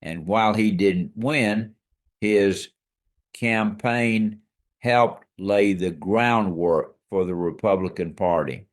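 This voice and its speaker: A man's voice: fairly low pitch, slow pace. A man co-hosting a scripted history podcast, explaining facts in an emphatic, storytelling way. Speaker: co-host.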